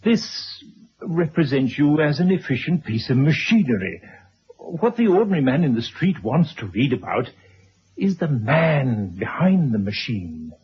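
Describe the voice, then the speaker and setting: A courteous, precise biographer in an old radio play. The voice is very husky and lively in pitch, with formal phrasing. The recording sounds dull. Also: male voice, unhurried, exaggerated voice. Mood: measured, probing. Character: courteous, formal male biographer interviewing his subject. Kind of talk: 1970s radio drama acting.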